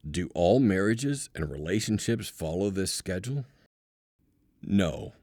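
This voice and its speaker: A man narrating an audiobook from a script, deliberately, with dramatic pauses and emphasis. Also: low voice, animated, darkish tone, dry recording, studio quality.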